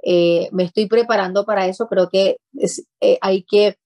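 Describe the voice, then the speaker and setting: A woman's voice: medium pitch, fairly even intonation, moderate pace, warm tone, dry recording. A woman in her thirties with a Puerto Rican accent, an interview guest speaking earnestly on a talk show. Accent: Puerto Rican Spanish. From Puerto Rico. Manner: earnest, speaks with emphasis and repetition. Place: small studio office set.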